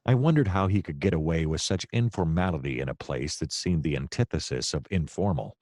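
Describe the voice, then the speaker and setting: An actor playing a hapless hard-boiled private detective, narrating his case in a wry, deadpan noir radio-play style. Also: very deep voice, fairly quick, dry recording, studio quality.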